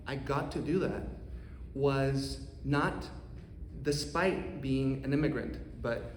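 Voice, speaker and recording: A man in his thirties from Costa Rica with a Costa Rican accent, an economics professor giving an earnest, measured prepared talk to a congregation. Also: medium pitch, slight background noise. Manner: earnest, measured, with occasional restarts. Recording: roomy hall reverb, faint ambience.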